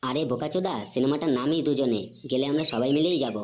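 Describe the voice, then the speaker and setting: A woman's voice: a bass voice, fast, darkish tone. A woman voicing a laid-back, teasing older cartoon boy who talks in casual slang, with a West Bengali accent. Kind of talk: comedy cartoon dialogue. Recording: clearly noisy background.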